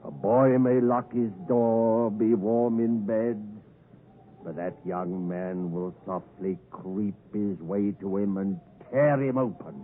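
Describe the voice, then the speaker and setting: An actor playing a fierce, desperate escaped convict in chains, threatening and commanding, in 1950s radio drama style. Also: male voice, lowish voice, takes it slowly. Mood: menacing. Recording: tinny, noisy 1950s radio broadcast.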